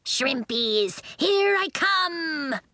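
Woman doing a little girl storybook character, eager n childlike, kinda shy, bouncy pitch. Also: sing-song, drawn-out words, bright, forceful tone, no room sound, studio quality, heavily acted. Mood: greedy glee. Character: eager, shy fifth-grade girl who turns flamingo.

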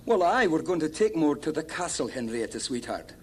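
A woman's voice: low-pitched, sing-song, fairly quick, husky, heavily acted. A woman playing a friendly Scottish traveller in children's television make-believe, with a Scottish accent. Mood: cheerful, friendly.

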